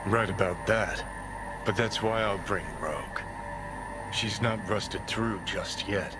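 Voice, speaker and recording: Brash, pushy rebel, loud music. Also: male voice, on the low side, slow pace. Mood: pushy, persuasive. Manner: blunt, cocky, persuasive. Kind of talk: video game dialogue acting.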